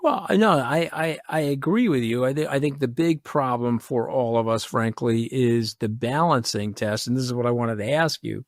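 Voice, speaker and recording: A man's voice: mid-range voice, normal speed, few pauses, no echo, clean studio sound. A man in his sixties hosting a podcast interview, brash and teasing in casual chat. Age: 60s. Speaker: podcast host.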